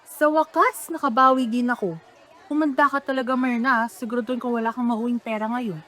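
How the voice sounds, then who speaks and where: A woman's voice: fairly high pitch, steady tone, brisk, sharp tone, some chatter behind. A woman with a Filipino accent playing a doting but careless, teasing mother in a radio drama. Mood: playful boasting. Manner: chatty, playful, nagging. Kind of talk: radio drama acting in casual family banter.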